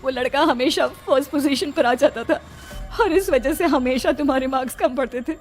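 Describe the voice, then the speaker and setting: Lady doing guilty desperate mom, high pleading voice, dramatic cartoon story, music behind. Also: brisk, close to an everyday voice. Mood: regretful pleading. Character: guilt-ridden desperate mother, pleading and tearful. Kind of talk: Hindi cartoon story acting.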